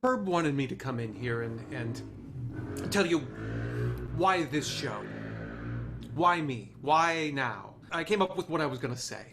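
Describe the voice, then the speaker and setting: A man's voice: a little high, expressive, a little slow, pauses often, a bit bright, a little hiss or hum. A man playing an idealistic, charming, swaggering TV writer in a screenplay table read. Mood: earnest, hesitant.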